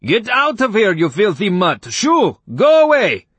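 A man voicing an irate, blustering male neighbor in an audiobook, spluttering and shouting in a panicked, shrill way. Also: huge pitch swings, measured pace, husky, no echo, full character voice. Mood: angry dudgeon. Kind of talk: audiobook character acting.

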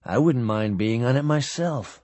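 A man's voice: medium pitch, animated, a little slow, a little mellow, no room sound, lightly acted. A man voicing a sardonic young naval officer making whispered asides. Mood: wry.

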